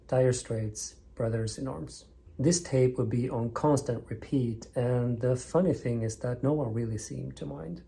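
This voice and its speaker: Man in his thirties, record-show host, warm, mellow tone, scripted and enthusiastic. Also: mid-range voice. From northern Sweden. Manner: enthusiastic, measured storytelling. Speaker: vinyl record channel host. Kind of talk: scripted narration.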